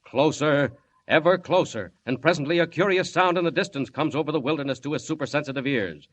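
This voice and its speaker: A man's voice: fairly high pitch, a little fast. A man narrating a 1940s-style radio adventure serial in a dramatic, declamatory manner. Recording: muffled, noisy old radio recording.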